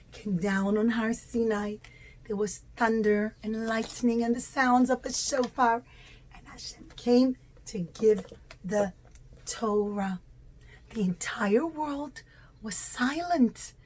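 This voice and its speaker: A woman's voice: a little high, darkish tone, slight background noise, low-quality recording. A woman telling a story to young children as a teacher, with simple, emphatic, repetitive phrasing. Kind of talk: animated children's puppet-show storytelling.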